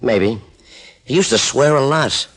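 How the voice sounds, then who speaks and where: An American man playing a smooth-talking gambler and club boss in an old-time radio crime drama, sarcastic and full of veiled threats. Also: medium pitch, measured pace, fairly bright tone.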